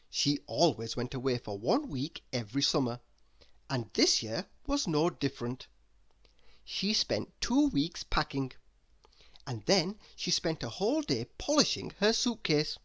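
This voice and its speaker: A woman reading a children's story aloud as an expressive narrator. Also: low-pitched. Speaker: story reader.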